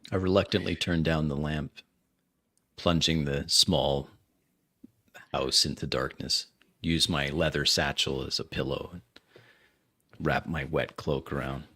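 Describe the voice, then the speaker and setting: Extremely deep, bright-edged man narrating. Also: fairly even intonation, a little slow, pauses often. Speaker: tabletop roleplaying player. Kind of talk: descriptive first-person game narration.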